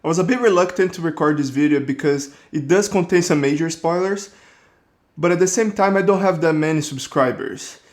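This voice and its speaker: Brazilian man, 30s, host voice, slightly high, easygoing storyteller with restarts. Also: fairly quick. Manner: relaxed, rambling storytelling with restarts. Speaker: creature designer, channel host. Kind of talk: casual personal narration.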